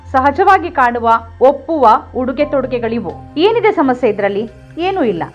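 A woman with a high voice narrates a scripted news story with a Kannada accent. She speaks earnestly and often asks rhetorical questions. The recording is slightly dull, with faint music underneath.

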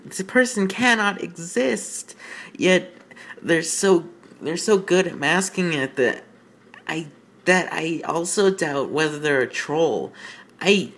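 A man hosting a fan fiction reading, giving mocking off-the-cuff commentary in an exasperated, incredulous, halting manner with stammered repeats. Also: sits high, creaky, a bit bright.

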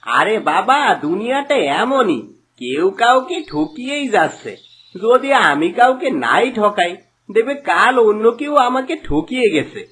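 A man playing a sly, greedy, boastful village swindler in a cartoon moral story, with an animated, coaxing manner. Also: extremely high pitch, a little slow, slightly rough, exaggerated voice. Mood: dismissive. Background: background music.

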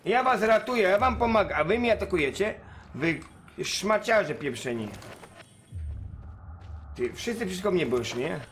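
A man's voice: high-pitched, animated, normal speed, long pauses, fairly bright tone, a little hiss or hum. A man doing casual livestream commentary on a game, swearing coarsely and talking back at it and his viewers. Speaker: game streamer.

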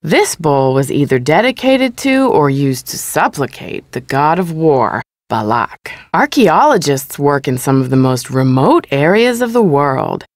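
A woman giving scripted educational narration as a museum exhibit guide in a video game, measured, formal and explanatory. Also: deep voice, very animated, no echo, clean studio sound.

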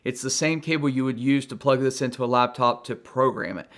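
Middle-aged man, American accent, medium pitch, conversational, plain-spoken, casual gadget review. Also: brisk, fairly bright tone. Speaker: gadget reviewer and video host. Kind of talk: casual product review to camera.

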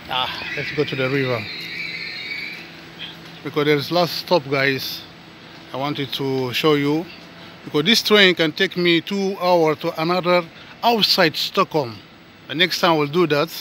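A man, not a native English speaker, talking casually to his viewers while walking outdoors and filming with his phone, often calling them guys and dropping to soft asides. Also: fairly high pitch, slight background noise. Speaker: vlogger. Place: outdoors on a suburban footpath.

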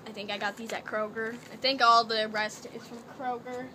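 A woman's voice: on the high side, steady tone, fairly quick, bright, forceful tone. Chatty young woman doing a fake accent. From Canada. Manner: chatty and rambling, putting on a playful fake accent. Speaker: vlogger. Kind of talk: casual haul video chat. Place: small cluttered bedroom, indoors. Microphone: laptop webcam. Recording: noisy laptop webcam audio.

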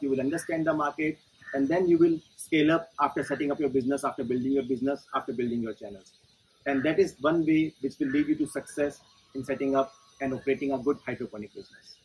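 A man's voice: on the high side, darkish tone, slightly rough, low-quality recording. A man in his forties with an Indian English accent, explaining a farming business to camera step by step, standing in a greenhouse. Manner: methodical, explains step by step with figures. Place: inside a greenhouse among leafy crops.

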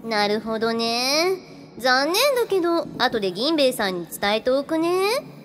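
A woman's voice: high voice, very animated, a little slow. A woman playing a glamorous, gracious female spirit in an audio drama, speaking in a languid, coaxing, familiar way. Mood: languid, wistful. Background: background music.

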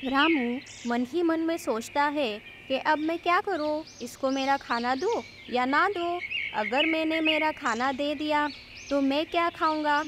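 A woman's voice: high voice, unhurried, very noisy. A woman reading a scripted moral story for children as its narrator. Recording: background music under the voice.

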